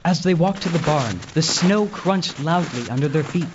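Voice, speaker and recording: A man's voice: fairly high pitch, expressive, moderate pace, muffled recording, noisy background, natural voice. A man playing the storyteller, reading scripted narration for a Christmas radio play. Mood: suspenseful storytelling.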